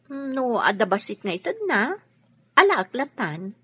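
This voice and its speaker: An actress playing an earnest, soft-hearted woman in love in a radio drama. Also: on the high side, sing-song, normal speed, slightly rough, exaggerated voice. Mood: hesitant. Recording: dull, noisy lo-fi radio.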